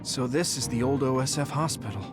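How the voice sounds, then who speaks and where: A man's voice: medium pitch, a little fast, close to an everyday voice. A man playing an earnest, direct young squad leader in an anime-style game. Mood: thoughtful. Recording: music under the dialogue.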